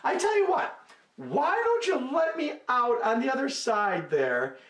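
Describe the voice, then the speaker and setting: A man voicing an excitable young male monkey with a shrieking, breathless manner. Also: very high-pitched, measured pace, voice pushed up, exaggerated voice. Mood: coaxing. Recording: roomy, from a distance.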